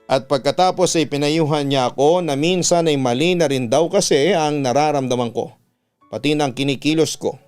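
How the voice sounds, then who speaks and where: Clear, smooth male radio storyteller. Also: fairly high pitch, normal speed, darkish tone. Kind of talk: dramatic first-person story narration. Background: background music.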